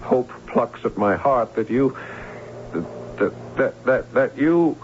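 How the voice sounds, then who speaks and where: An actor playing an earnest young nobleman confessing his love haltingly, in the style of 1970s radio drama. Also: mid-range voice, animated, slight background noise. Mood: fearful, ardent. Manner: halting, repeats words, earnest. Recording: muffled vintage radio recording with music.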